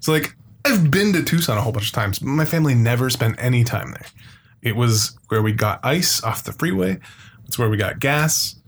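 Middle-aged American male co-host, medium pitch, casual and sweary, noisy recording. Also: expressive, normal speed. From Phoenix, Arizona. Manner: rambling, sweary, repeats phrases in lists. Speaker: podcast co-host. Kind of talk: casual, unscripted conversation.

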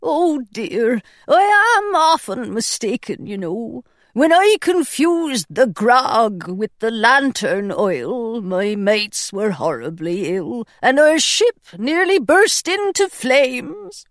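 A woman voicing a hapless male pirate with a thin, anxious voice, slow and apologetic. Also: on the high side, big pitch swings, no room sound, clean studio sound, exaggerated voice. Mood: fretful.

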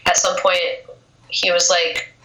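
A young woman with a cold talks about her writing in loose, easygoing chat, peppering her sentences with "like". Her voice is bright but sits a little low. The room is echoey, with a faint hiss behind her. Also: little pitch movement, measured pace. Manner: casual, lots of filler "like". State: has a cold, losing her voice. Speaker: poet, interview guest. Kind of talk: casual interview chat.

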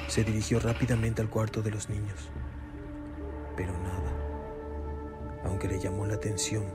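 A male storyteller narrates a suspense radio play. His low voice stays very even, over prominent music.

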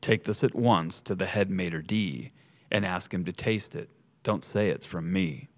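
A man voicing a gentle, mild-mannered grown son in a rhyming story, speaking quietly and slowly. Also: low voice, dry recording. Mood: calmly instructing. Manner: gentle, unhurried, soft.

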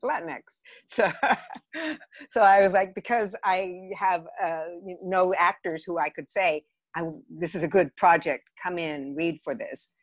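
A woman's voice: fairly low pitch, measured pace, dry recording. A woman with an American accent, a podcast guest and theatre director chatting casually about her work. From Los Angeles area, United States. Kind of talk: casual interview, telling career stories.

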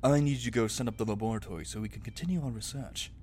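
A man's voice: lowish voice, rapid-fire, darkish tone, slight background noise, natural voice. A man playing an exacting but patient scientist mentor, formal and instructive, in an audio drama. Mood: firm, patient.

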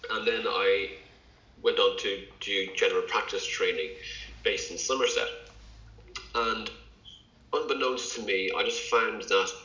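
A man's voice: fairly low pitch, pushed and bright. A male doctor from England, a podcast guest, talking in a hesitant way with many fillers and restarts. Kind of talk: casual interview talk. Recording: echoey, dull lo-fi sound.

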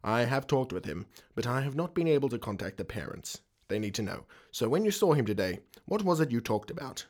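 An Australian actor playing a creepy, formal school headmaster in a radio drama, ingratiating and deliberate. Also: male voice, medium pitch, sing-song, a little mellow. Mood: grave. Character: creepy middle-aged male school headmaster, smooth-talking, secretive.

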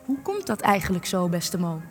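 An actress in a Dutch radio play, playing a sharp, cynical researcher who is sardonic, wordy and needling. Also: female voice, fairly low pitch, big pitch swings, normal speed. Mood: taunting. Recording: long reverb, music underneath.

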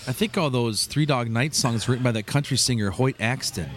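Curious radio host asking quick questions. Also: male voice, mid-range voice. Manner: curious, asks short questions. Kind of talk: casual interview.

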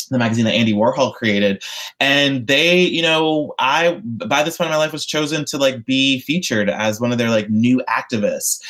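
A young man from the United States, talking about his activist work in an interview, earnest and rambling with lots of fillers and restarts. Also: mid-range voice. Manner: earnest and expressive. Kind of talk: casual interview conversation.

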